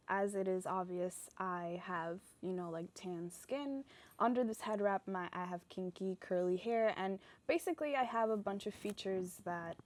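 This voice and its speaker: A young woman from the United States, a guest explaining her view in a thoughtful, earnest way. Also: medium pitch. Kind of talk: casual discussion.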